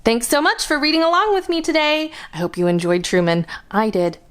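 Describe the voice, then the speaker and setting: A woman with a fairly high voice reads a picture book aloud for young children. Her delivery is highly animated, with big melodic swings. She phrases deliberately and expressively, as a storytime host does.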